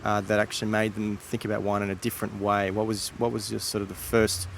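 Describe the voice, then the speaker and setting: A man's voice: lowish voice, level tone, fast, gravelly, a little hiss or hum. Easygoing man asking interview questions. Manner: easygoing, with short listening replies. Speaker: podcast host.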